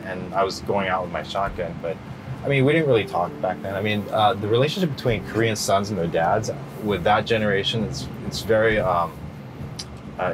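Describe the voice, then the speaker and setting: A middle-aged man answering interview questions in a relaxed, rambling way, full of fillers and restarts. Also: lowish voice, background noise. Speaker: interview guest. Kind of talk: casual interview.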